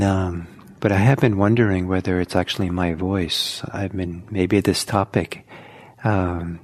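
A man teaching meditation in a soft, slow, halting way, with many pauses. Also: low-pitched. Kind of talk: guided meditation talk.

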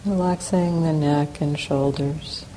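A woman teaching a guided meditation, giving calm, unhurried instructions with long pauses between phrases. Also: low voice, low-quality recording. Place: meditation center hall. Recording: clear steady background noise.

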